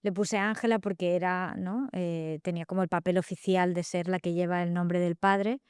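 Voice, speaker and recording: Reflective Catalan woman, very clear voice. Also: lowish voice, steady tone, normal speed, a little mellow, dry recording, studio quality. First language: Spanish. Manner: reflective, many fillers and tag questions. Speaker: guest novelist. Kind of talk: thoughtful interview talk.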